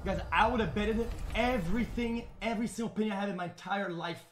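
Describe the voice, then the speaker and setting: A man streaming live, excitedly talking to his chat and calling out reactions. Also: high voice, fast talker. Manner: excitable, addressing chat, shouting. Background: showcase audio with music.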